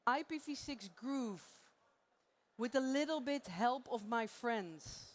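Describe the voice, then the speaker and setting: A woman's voice: a little high, lively intonation, slow. A woman from the Netherlands with a Dutch accent, giving a playful, chatty live conference talk on stage and laughing often. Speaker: conference presenter.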